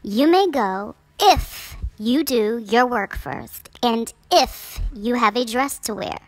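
A woman voicing a cold, mean middle-aged stepmother in a children's storybook, commanding and deliberate. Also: on the high side, animated, dry recording. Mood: stern, condescending. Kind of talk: children's storybook read-aloud.

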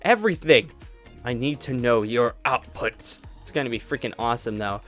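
A man's voice: mid-range voice, expressive, moderate pace, fairly bright tone, soft background music. A young man chatting casually to his viewers, rambling with many fillers and restarts. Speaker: YouTube roleplay creator.

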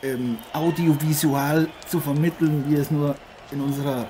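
A man's voice: a little high, fairly even intonation, moderate pace, mellow, lightly acted. A man playing a chummy, slightly grandiose celebrity co-commentator in an improvised boxing audio drama. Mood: friendly, engaged. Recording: noisy arena ambience behind voice.

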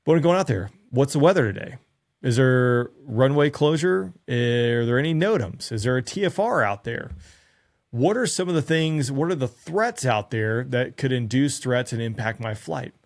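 A man's voice: medium pitch, expressive, moderate pace. A man in his thirties, a former fighter pilot, giving pilots earnest conversational advice to camera, often asking himself rhetorical questions. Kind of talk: direct-to-camera instructional talk. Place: small dark studio room. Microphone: studio mic on stand in front of him.

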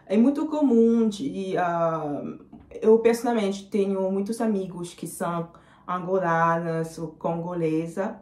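A young woman in her twenties, a native French speaker with a French accent, chatting to camera with many fillers and pauses as she answers viewer comments. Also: fairly low pitch, darkish tone, reverberant. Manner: hesitant, many fillers, switches into English. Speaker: vlogger. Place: small indoor room.

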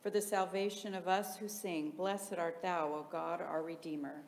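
Lower-voiced woman reciting hymns, very echoey. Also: level tone, moderate pace, a little mellow. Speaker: liturgical reader in church. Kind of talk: solemn chanted recitation of hymns. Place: large reverberant interior. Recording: echoey reverberant hall, faint background noise.

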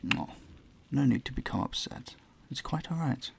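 A man playing a courteous, charming vampire in an intimate audio roleplay, soft-spoken, breathy and soothing, often close to a whisper. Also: medium pitch, moderate pace. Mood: gentle concern. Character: gentle, courteous male vampire, charming and doting. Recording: faint ambient noise behind the voice.

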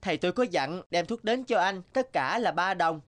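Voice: mid-range voice, animated, normal speed, lightly acted. An actor voicing an eager, naive young male apprentice healer in a folk-tale cartoon, speaking earnestly. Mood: polite. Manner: deferential, admiring, earnest. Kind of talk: animated folk tale dubbing. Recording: music under the voice.

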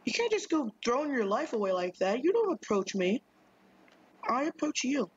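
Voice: medium pitch, sing-song, moderate pace. Playful streamer voice for casual game commentary, dull lo-fi capture with faint noise. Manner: playful, jokey, sings snatches. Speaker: gaming streamer.

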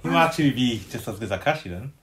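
A man's voice: mid-range voice, lively intonation, rapid-fire, a bit bright, slight background noise. A man in his twenties giving casual reaction commentary with friends. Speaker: reaction show host. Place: small living room, on a couch. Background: show audio and music playing.

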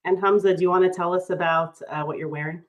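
A woman's voice: lowish voice, fairly even intonation, quick pace, lo-fi sound. A woman moderating a video call panel, reading audience questions in an upbeat way.

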